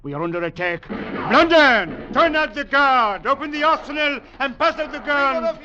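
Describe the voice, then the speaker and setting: An actor playing a ruthless, contemptuous commandant in a 1940s radio drama, barking menacing orders. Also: male voice, very high voice, expressive, moderate pace, sharp tone, full character voice. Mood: frantic, roaring. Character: ruthless French Foreign Legion commandant, brutal and contemptuous. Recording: dull, noisy 1940s radio recording.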